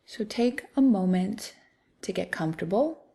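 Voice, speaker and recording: Soft breathy lady doing a guided body scan, really slow n soothing, mellow low voice. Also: lively intonation. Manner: soothing, soft, breathy, unhurried with long pauses. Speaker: guided meditation teacher.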